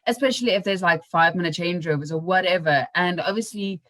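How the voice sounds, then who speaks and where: A woman's voice: fairly low pitch, brisk, a bit bright, no room sound. A young woman hosting a podcast, chatting casually and informally in an anecdotal style. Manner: informal, acts out remembered remarks. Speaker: podcast host.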